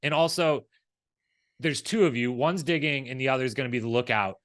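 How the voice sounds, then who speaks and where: A man's voice: medium pitch, fairly quick, fairly bright tone, dry recording. Casual male co-host, dry close sound. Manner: rambling, acts out imagined dialogue. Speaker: recap show co-host. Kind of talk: casual, conversational episode commentary.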